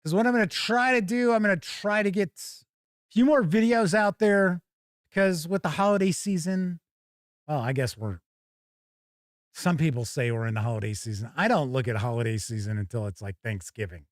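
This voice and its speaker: American guy chatting on a stream, rambly, voice on the high side, really dry close sound. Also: moderate pace, no echo. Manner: rambling, acts out quoted remarks. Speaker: livestream host and game reviewer. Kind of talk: casual livestream chat.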